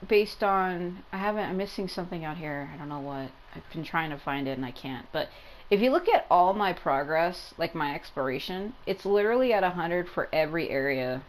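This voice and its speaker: A woman's voice: on the low side, expressive, brisk, low-quality recording. A woman giving casual gameplay commentary as a video game streamer, rambling and repeating herself for emphasis.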